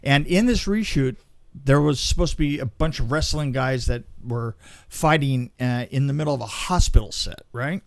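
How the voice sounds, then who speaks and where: A man in casual chat, telling anecdotes in a rambling, animated way. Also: medium pitch, normal speed, creaky, no room sound, clean studio sound. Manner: rambling storyteller, acts out sound effects.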